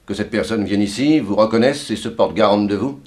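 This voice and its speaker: An actor playing a curt, sceptical, authoritative police inspector in a 1960s French radio drama. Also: male voice, fairly low pitch, brisk, a little mellow, natural voice. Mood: firm, sceptical.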